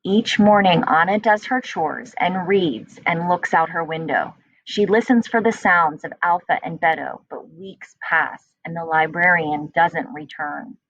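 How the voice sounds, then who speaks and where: A woman reading a children's storybook aloud as a teacher, with expressive storytelling. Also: deep voice, slow pace, vocal fry, breathy edge, highs cut off.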